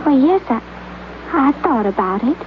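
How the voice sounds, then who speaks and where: A shy, hopeful young assistant. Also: female voice, high-pitched, expressive, normal speed. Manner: soft, hesitant, earnest. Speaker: office assistant. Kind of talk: 1950s radio drama acting. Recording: tinny old radio broadcast with hiss.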